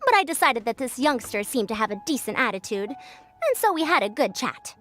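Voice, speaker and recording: A woman's voice: on the high side, sing-song, fairly bright tone. A woman voicing a self-assured, precocious scholar who speaks in a lofty, formal way in a video game. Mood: haughty. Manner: pompous, measured phrasing.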